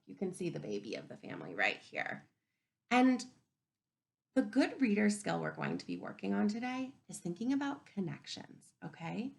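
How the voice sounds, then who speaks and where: A woman teacher reading a picture book aloud to young children in an expressive, instructive way, pausing to ask questions. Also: mid-range voice, gravelly. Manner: animated, instructive, asking the listeners questions.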